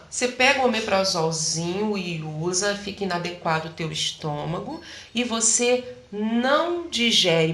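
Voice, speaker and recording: A woman, a health practitioner, explaining things in an animated interview chat and often acting out quoted voices. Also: medium pitch, echoey room.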